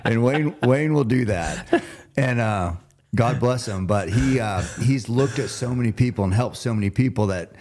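A man's voice: mid-range voice, normal speed, a little mellow, slight background noise. A man hosting a casual podcast, talking in an earnest, admiring and agreeable way. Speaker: podcast host.

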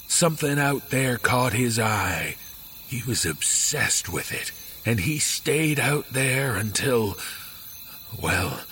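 An actor playing a grim, haunted middle-aged father in a horror audio drama, speaking gravely and haltingly. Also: on the low side, sharp tone, a little hiss or hum, heavily acted. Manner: slow, grave, halting delivery. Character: grim, haunted father confiding a dark family secret.

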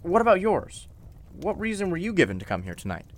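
A man playing a polite, level-headed lawyer in an old-time radio drama, speaking in a measured, slightly formal way. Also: sits high, wildly swooping pitch, exaggerated voice. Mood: thoughtful, concerned.

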